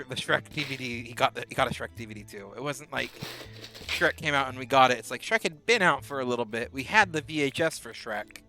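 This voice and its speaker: Young man, twenties, rambling streamer chat, a bit sick, bright forceful tone. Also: mid-range voice. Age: 20s. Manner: rambling, chatty, answers chat messages. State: a bit sick, on bed rest. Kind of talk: casual live-stream chat while gaming.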